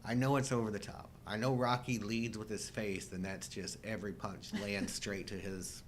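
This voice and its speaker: A man's voice: flat delivery, moderate pace. A middle-aged man co-hosting a show, chatting casually about movies with joking commentary. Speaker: co-host of a live chat show. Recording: low rumble and background noise.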